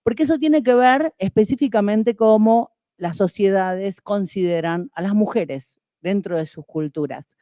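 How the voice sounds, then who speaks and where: A woman's voice: medium pitch, dark tone, no room sound, clean studio sound. A woman from Argentina speaking fluently and formally as a university rector on a panel. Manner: articulate, fluent and measured. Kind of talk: formal academic panel talk.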